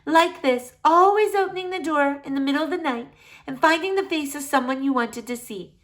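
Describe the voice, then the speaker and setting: A woman voicing a tender, sentimental lady doctor in a children's storybook, exclaiming in drawn-out phrases. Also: high-pitched, a bit bright, pitched above the performer's own voice. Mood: nostalgic, joyful. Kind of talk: acted children's storybook dialogue.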